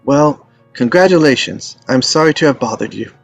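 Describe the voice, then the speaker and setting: A man's voice: medium pitch, lively intonation, normal speed, vocal fry, no room sound, soft background music. A man playing an earnest, lovestruck and stubborn young romantic in a screenplay table read, speaking sincerely and wistfully. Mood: earnest, subdued.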